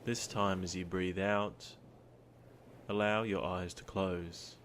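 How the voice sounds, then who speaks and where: A man guiding a meditation in a calm, soothing, gentle manner, with long pauses between his instructions. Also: low voice, slight background noise. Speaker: meditation guide. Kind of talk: guided body scan meditation. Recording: surf sounds behind the voice. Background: ocean surf ambience.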